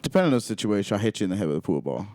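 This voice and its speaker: A man's voice: fairly low pitch, sing-song, racing, darkish tone, no room sound. A man co-hosting a podcast in casual, joking chat, teasing and swearing.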